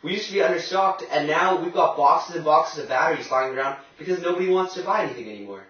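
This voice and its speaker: A man's voice: fairly high pitch, fast, a bit bright, close to an everyday voice. Worried male interviewee, acted by a man, radio play, distant, muffled recording. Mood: troubled. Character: worried 1930s working man hit by crash. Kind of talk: amateur radio drama interview acting. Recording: very echoey, distant, dull recording.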